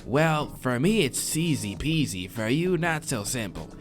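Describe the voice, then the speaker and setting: A man's voice: mid-range voice, sing-song, measured pace, soft background music. A man voicing a laid-back, friendly surfer-dude moth monster in a visual novel, with slangy, drawling talk. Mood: laid-back, animated. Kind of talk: visual novel character read aloud.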